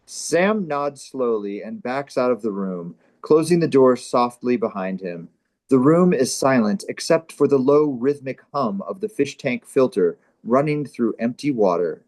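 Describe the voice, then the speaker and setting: A man's voice: mid-range voice, expressive, measured pace, darkish tone. A man hosting a comedy table read, narrating stage directions and chatting casually. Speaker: comedy show host and script narrator.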